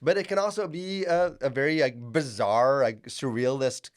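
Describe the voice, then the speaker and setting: A man's voice: medium pitch, moderate pace. A man who is sick and has lost his voice, chatting casually with many fillers and restarts as he reviews a film for his channel.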